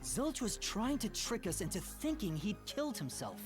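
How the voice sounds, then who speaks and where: A woman's voice: mid-range voice, sing-song, normal speed, lightly acted. A woman voicing an earnest, anxious teenage boy detective in an anime-style video game, sounding hesitant and reactive. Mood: earnest, unsure. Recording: faint game music behind.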